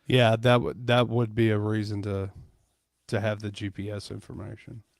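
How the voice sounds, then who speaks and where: A man's voice: on the low side, monotone, moderate pace, creaky, no echo, clean studio sound. A man co-hosting a livestream, chatting casually with laid-back, joking asides. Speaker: livestream co-host. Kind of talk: casual chat.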